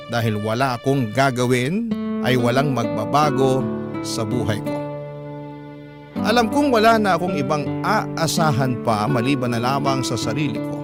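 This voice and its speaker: Male Filipino narrator for radio drama, mid-pitched, reading a letter over prominent guitar music. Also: animated, normal speed. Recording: loud guitar music under the voice.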